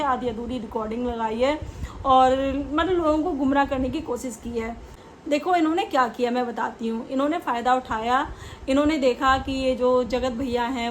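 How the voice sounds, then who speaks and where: A woman's voice: high-pitched, slight background noise, low-quality recording. A woman hosting a reaction video, giving casual, conversational opinion commentary in an explanatory way, mixing in English words.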